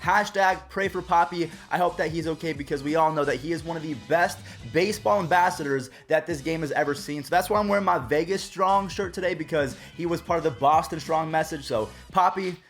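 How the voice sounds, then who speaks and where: Hoarse, chatty man with high voice. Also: brisk, few pauses, soft background music. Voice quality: raspy. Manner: chatty, upbeat, addresses viewers directly. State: slightly hoarse from a cold. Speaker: baseball channel host. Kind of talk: casual vlog commentary.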